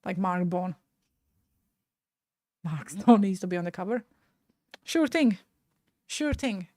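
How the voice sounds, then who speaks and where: A woman's voice: on the low side, animated, takes it slowly, darkish tone, no room sound, studio quality. A young woman in her twenties from Latvia, speaking with a Latvian accent, chatting casually and frankly with her live-stream viewers, often trailing off mid-word.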